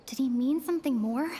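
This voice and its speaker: Slow, hushed young heroine. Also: female voice, high-pitched, slight background noise. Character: reserved, thoughtful young traveler heroine. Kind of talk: video game voice acting.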